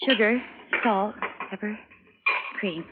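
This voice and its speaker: A woman's voice: mid-range voice, slow, lightly acted. A woman playing a meek, overworked young wife in an old-time radio drama, nervous, placating and frayed. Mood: dutiful, nervous. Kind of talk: 1940s radio drama acting. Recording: noisy, narrow-band vintage radio recording.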